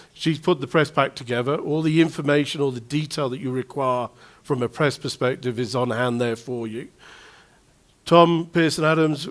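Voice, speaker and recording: A middle-aged man with a Manchester accent, an event promoter giving a chatty, self-deprecating address at a press conference. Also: mid-range voice. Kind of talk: informal speech to the press.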